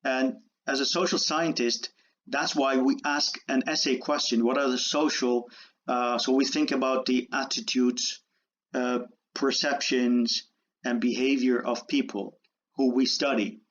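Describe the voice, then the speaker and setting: A man born and raised in the Netherlands giving a university lecture, halting with many fillers and restarts. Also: mid-range voice, fairly even intonation. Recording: dull lo-fi lecture audio.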